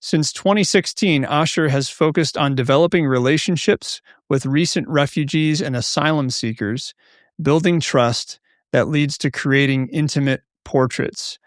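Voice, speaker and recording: A man hosting a conversational podcast for pastors, reading a measured introduction and then asking questions. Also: fairly high pitch, dry recording, studio quality. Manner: measured, warm formal welcome, reads intro. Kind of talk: scripted intro then interview.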